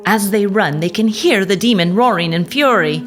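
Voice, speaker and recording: A woman's voice: medium pitch, moderate pace. A woman narrator telling a scripted story theatrically for an audio drama. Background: music.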